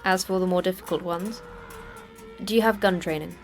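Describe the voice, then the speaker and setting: An actress playing a terse woman station manager in a sci-fi audio drama, brusque and dryly sarcastic in a deadpan way. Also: on the low side, normal speed, faint music behind, close to an everyday voice. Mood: dry, sardonic. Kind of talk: audio fiction podcast acting.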